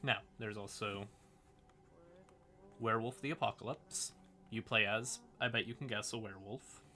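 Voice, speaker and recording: A man's voice: fairly low pitch, steady tone, moderate pace. A man hosting a livestream, explaining things casually in a folksy, rambling way with asides muttered to himself. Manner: folksy, uses y'all and howdy. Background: music quietly in the background.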